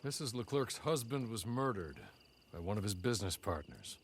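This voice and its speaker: An actor playing a deferential, loyal manservant in a Western video game, formal and courteous in his measured speech. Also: fairly low pitch, big pitch swings, slight background noise. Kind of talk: video game cutscene acting.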